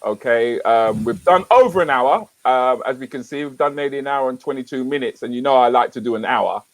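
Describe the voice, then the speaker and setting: A man in his fifties hosts a casual chat show, his voice mid-pitched and unhurried. He talks in a relaxed, conversational way. The sound is close and dry. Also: dry recording, poor audio. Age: 50s. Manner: relaxed, conversational, rhetorical questions.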